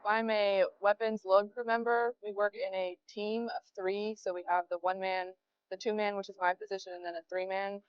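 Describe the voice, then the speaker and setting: A woman's voice: medium pitch, moderate pace, clean tone, no room sound. Young military woman, slightly muffled recording. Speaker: air force weapons load crew member. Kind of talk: interview account of the job.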